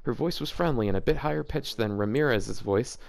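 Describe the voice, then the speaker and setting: A man's voice: medium pitch, brisk, creaky, a little mellow, no echo. Casual man reading aloud, dry close sound. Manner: rambling, joking asides. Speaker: host reading fanfiction aloud for a video series. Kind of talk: casual commentary and amused read-aloud.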